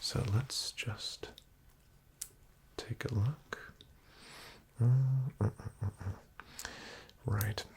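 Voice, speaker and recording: A man whispering as a formal, dryly ironic doctor in a soft-spoken ASMR roleplay. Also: fairly low pitch, steady tone, extremely slow, gravelly, pauses often.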